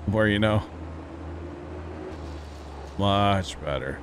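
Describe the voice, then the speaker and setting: Laid-back low-voiced man streaming a game. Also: drawn-out words, background noise. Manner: laid-back, chatty, laughs often. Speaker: livestream host. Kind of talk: casual gaming stream chat. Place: home streaming setup.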